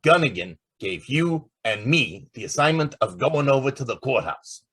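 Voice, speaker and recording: An actor playing a brash, impatient star reporter in an old-time radio drama, with a blustery manner. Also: male voice, medium pitch, animated, moderate pace, fairly bright tone, husky. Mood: eager.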